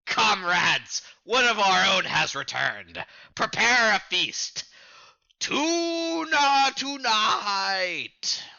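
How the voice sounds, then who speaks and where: A man's voice: sits high, big pitch swings, drawn-out words, gravelly, bright, forceful tone, dry recording, lo-fi sound, voice pushed up, exaggerated voice. A man voicing a gloating pirate crew boss in a manga read-aloud, pompous and mocking.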